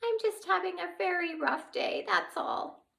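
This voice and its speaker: Casting: woman voicing a tiny sobbing female fairy, very high and lively, scared and touchy. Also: unhurried, creaky, full character voice. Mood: sad. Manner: weepy, sobbing between words.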